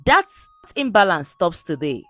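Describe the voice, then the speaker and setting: Woman reading as an apologetic village helper, very expressive. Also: fairly low pitch, a little slow, no echo, soft background music, full character voice. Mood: earnest.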